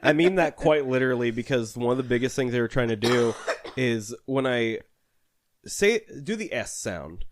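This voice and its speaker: A man's voice: mid-range voice, animated, normal speed, background noise. A man co-hosting a casual podcast chat, talking with a lisp and laughing often. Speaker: podcast co-host.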